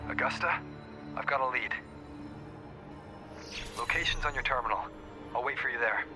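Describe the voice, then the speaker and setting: An actor playing a businesslike ally reporting in over a radio link in a video game, terse and matter-of-fact. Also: male voice, medium pitch, normal speed, music in the background.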